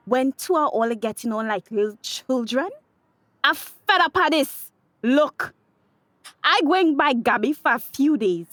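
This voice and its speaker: A woman's voice: high-pitched, expressive, a little slow, fairly bright tone, no room sound. A woman playing a grieving, fed-up young daughter with a Tobagonian accent in a radio drama, speaking emotionally in dialect. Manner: emotional, dialect speech, pleading and exasperated. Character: grieving, fed-up grown daughter caught between quarreling men.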